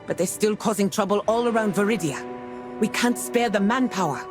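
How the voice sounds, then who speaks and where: Dutiful game commander, played by a woman: composed, formal, diplomatic, mid-pitched, clear music bed. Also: a little fast, natural voice. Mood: composed, briefing. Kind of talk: dramatic video game acting.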